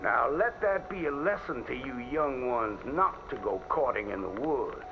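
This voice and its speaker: A man's voice: medium pitch, expressive, unhurried, creaky, dull audio, noisy background. A man acting as a folksy storyteller, telling a spooky cautionary tale with dramatic, old-fashioned diction. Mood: dramatic, foreboding. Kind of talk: theatrical radio-style storytelling. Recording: band-limited old radio sound, loud music behind.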